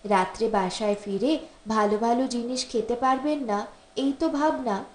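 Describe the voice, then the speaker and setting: A woman voicing a teasing, hospitable married mother in an audiobook story, gently reproachful and bossily caring. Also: fairly high pitch, moderate pace, close to an everyday voice. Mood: playful teasing. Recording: roomy, echoing voice recording.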